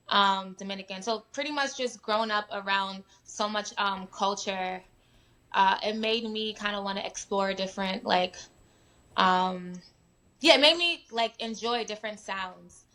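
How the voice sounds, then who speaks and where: A young woman from New York, chatting casually as a guest musician with lots of "um" and "like". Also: mid-range voice. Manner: relaxed, many fillers like "um" and "like". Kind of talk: casual interview chat. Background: sirens at times.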